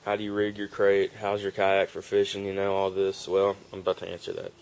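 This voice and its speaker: Young man, laid-back rambling vlogger, low flat delivery, handheld camera mic. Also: a little fast, vocal fry, rough recording. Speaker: fishing vlogger. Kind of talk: casual show-and-tell vlog. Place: outdoor wooden porch.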